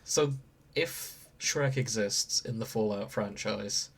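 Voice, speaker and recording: A man's voice: on the low side, takes it slowly, breathy edge. British man bantering on a comedy podcast. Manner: sweary, jokey, given to tangents. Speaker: podcast co-host. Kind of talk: casual comic banter.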